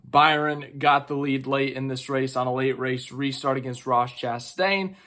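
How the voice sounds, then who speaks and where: A man with strep throat giving a casual, chatty race recap, excitable and full of fillers. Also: mid-range voice, a little slow. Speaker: racing vlogger.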